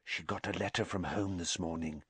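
A man voicing a sympathetic teenage schoolgirl in an audiobook, whispering in a hushed way. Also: low-pitched, steady tone, normal speed, husky, no room sound, full character voice. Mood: hushed, sad.

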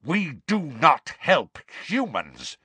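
Guy doing a proud menacing centaur, slow heavy snarl, audiobook character. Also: mid-range voice, big pitch swings, fairly bright tone, dry recording, heavily acted. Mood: snarling pride. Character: stern, proud male centaur, menacing and unforgiving.

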